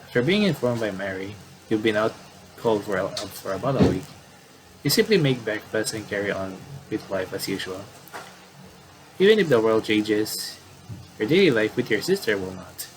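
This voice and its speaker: A man's voice: lowish voice, animated, normal speed, slight background noise, poor audio. A man commentating on a game playthrough, casually reading story text aloud and often stumbling and rereading phrases.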